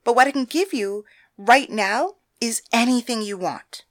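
A woman voicing a commanding, guarded woman from a brothel, brusque and forceful. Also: on the high side, measured pace, close to an everyday voice. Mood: exasperated. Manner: brusque and forceful, raising her voice in anger.

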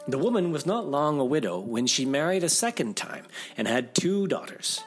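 A man narrating a fairy tale as a storyteller, in a theatrical, expressive and dramatic way. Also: on the high side, normal speed, faint music behind.

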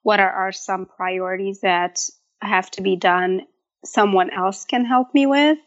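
A woman's voice: medium pitch, fairly even intonation, measured pace. Thoughtful woman on a dull remote line. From Egypt. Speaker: guest, food blogger. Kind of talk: casual interview chat.

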